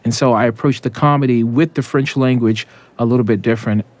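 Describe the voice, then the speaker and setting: A man's voice: mid-range voice, steady tone, a little fast. A man, an opera tenor, giving reflective interview answers with small restarts.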